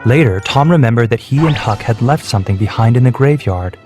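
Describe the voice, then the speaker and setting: A man's voice: mid-range voice, expressive, fairly quick. Male storybook narrator over music. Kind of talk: scripted children's story narration.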